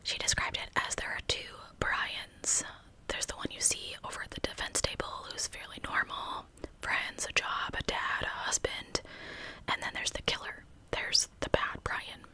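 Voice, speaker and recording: A woman narrating true crime in a hushed ASMR whisper, telling it in an informal, conversational way as host. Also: moderate pace.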